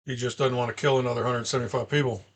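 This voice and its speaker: A man's voice: medium pitch, fairly even intonation, rapid-fire, vocal fry, slight background noise. A man giving casual, slangy, wry commentary as a movie reaction host. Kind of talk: casual off-the-cuff film commentary. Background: movie soundtrack music.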